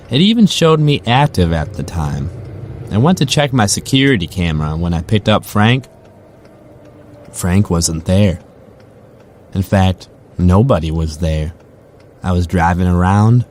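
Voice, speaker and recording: A man's voice: lowish voice, darkish tone, a little hiss or hum. A man narrating a first-person audio drama from a script.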